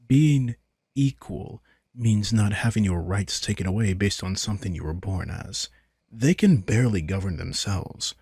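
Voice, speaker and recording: A man playing a playful, smug vampire in an intimate roleplay audio drama, casual, sardonic and teasing, with a husky, gravelly voice. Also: fairly low pitch, a little mellow, no room sound, studio quality, exaggerated voice. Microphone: close, dry studio microphone.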